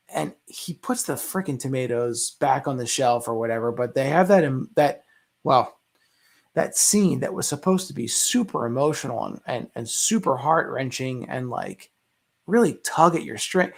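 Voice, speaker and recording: A man chatting casually as a panelist on a discussion show, telling things in an animated way and acting out reactions. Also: medium pitch, normal speed, vocal fry. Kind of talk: casual movie discussion chat.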